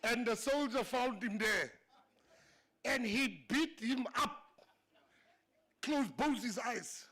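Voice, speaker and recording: South african guy, minister, afrikaans first language, cracking stories at a dinner, high voice, laughs at his own jokes. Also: slow, pauses often, sharp tone. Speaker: government minister giving a speech. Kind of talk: humorous after-dinner speech. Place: banquet hall.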